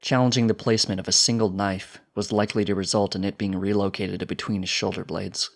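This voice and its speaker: A man's voice: on the low side, flat delivery, quick pace, creaky, soft background music. A man reading a scripted fiction story as its narrator.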